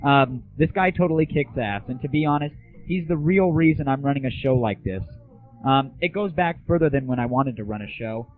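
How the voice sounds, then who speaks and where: A man's voice: mid-range voice, brisk, no echo, soft background music. A young man hosting a casual gaming audio show, chatting and asking questions as an interviewer. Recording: tinny, phone-like, band-limited 1990s internet audio.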